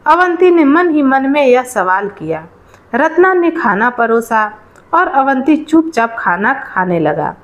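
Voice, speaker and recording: Slow, high-voiced woman telling a story. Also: sing-song, lo-fi sound. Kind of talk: scripted narration of a Hindi moral folk tale.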